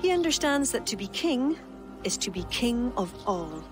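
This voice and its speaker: Steadfast Irish pagan poet over loud music. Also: female voice, on the high side, very animated, normal speed. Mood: solemn conviction. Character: devout, steadfast young pagan woman, Irish court poet. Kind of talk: dramatic game cutscene dialogue. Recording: loud background music under dialogue.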